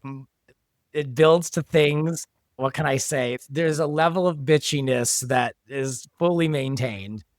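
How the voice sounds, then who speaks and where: A man's voice: fairly high pitch, measured pace, dry recording, clean studio sound. A man in an interview, speaking conversationally and reflectively, as if thinking aloud about creative work. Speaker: podcast guest, audio drama writer and sound designer. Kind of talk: behind-the-scenes interview chat.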